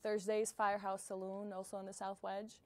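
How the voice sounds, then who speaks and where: A young woman from Bosnia, a singer-songwriter guest answering interview questions in a soft, hesitant way with many fillers, in a small music venue. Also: mid-range voice, completely flat delivery, brisk, dry recording.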